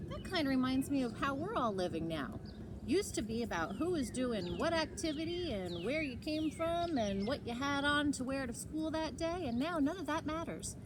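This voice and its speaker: A woman reading aloud to children as a storyteller, expressive and emphatic, on a noisy home recording. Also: fairly high pitch. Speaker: library storyteller. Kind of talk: animated children's read-aloud.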